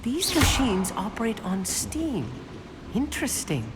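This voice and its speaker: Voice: medium pitch, big pitch swings, measured pace, noisy background. An actor voicing a studious, awed young male student in a video game, speaking in an articulate, marvelling way. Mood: awed, curious. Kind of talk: video game character dialogue. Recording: long hall reverb.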